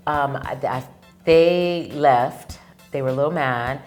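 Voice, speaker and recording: Guest: woman actor-producer, very low voice, animated storyteller, faint music underneath. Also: takes it slowly. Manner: animated storyteller who acts out quoted lines. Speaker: actress and producer, interview guest. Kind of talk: casual interview chat.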